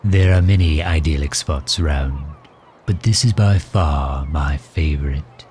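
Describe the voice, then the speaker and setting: A man playing a courtly, overprotective villain who fusses gently and formally in an ASMR roleplay drama. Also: very deep voice, measured pace, a little hiss or hum. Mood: proud, expansive. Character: doting, overprotective male villain caring for injured hero.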